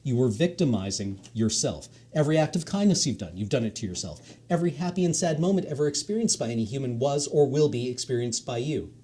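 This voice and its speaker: A man's voice: mid-range voice, lively intonation, fast, few pauses, mellow, natural voice. A man reading the lines of a casual, patient male God who talks like a teacher. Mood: offhand. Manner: breezy and offhand, teacherly.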